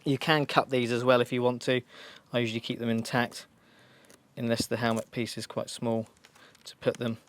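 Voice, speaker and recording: A man's voice: medium pitch, level tone, moderate pace. A man giving an informal, practical step-by-step demonstration in a reassuring, instructional way. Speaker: motorcycle shop staff giving a fitting tutorial.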